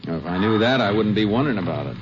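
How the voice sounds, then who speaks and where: An actor playing a steady, shrewd Texas Ranger in a 1950s radio crime western, questioning and narrating in a terse, matter-of-fact way. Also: male voice, on the low side, fast, lightly acted. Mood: probing, businesslike. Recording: muffled, noisy old 1950s radio recording.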